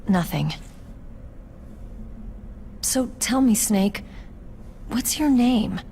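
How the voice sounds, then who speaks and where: A woman's voice: fairly high pitch, lively intonation, very slow, warm tone, slight background noise, heavily acted. A woman playing an earnest young female soldier in a video game, self-doubting and curious, with a tentative, questioning delivery. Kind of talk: video game cutscene acting.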